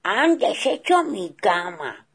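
A big papa bear blusters in loud indignation, as a woman puts him on. The voice stays breathy and lively. It sounds like a children's storybook reading. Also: lowish voice, a little slow, voice pushed up, heavily acted. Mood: angry. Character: big papa bear, gruff and easily angered.

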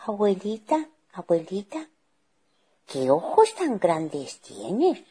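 Casting: sweet trusting small girl for a fairy tale, raised pitch, sing-song. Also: big pitch swings, measured pace, dark tone, husky, heavily acted. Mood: puzzled, curious. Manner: childlike, sing-song, naive.

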